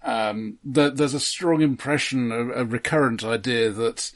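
A man discusses films as a podcast co-host in a mid-pitched voice. His delivery is considered and halting, often repeating a word before he moves on, and the tone is that of casual analysis. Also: steady tone.

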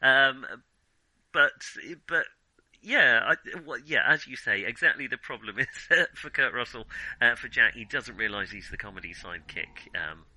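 A man casually discussing films as a podcast co-host, talking in a halting, thinking-aloud way with an amused, chuckling edge. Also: mid-range voice, animated, sharp tone, poor audio.

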